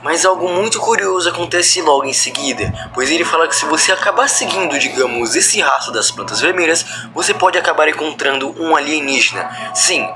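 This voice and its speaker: Higher-voiced Brazilian man over loud music. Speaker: video host. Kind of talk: reaction commentary explaining a horror video. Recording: loud background music under the voice.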